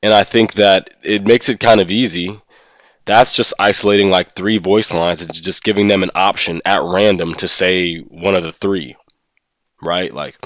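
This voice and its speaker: A man with a fairly deep voice talks as a livestreamer in a casual discussion. He thinks aloud in an opinionated, conversational way, often checking in with his listeners. The sound is dry, with very little room around the voice. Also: fairly bright tone, no room sound. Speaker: live streamer and gaming content creator.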